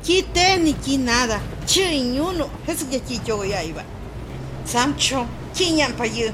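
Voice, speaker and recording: High-voiced village woman, acted by a woman. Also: expressive, slow pace. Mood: conversational. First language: Popoluca. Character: village woman chatting with neighbours in a radio serial. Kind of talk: radio drama dialogue. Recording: noisy outdoor vehicle ambience.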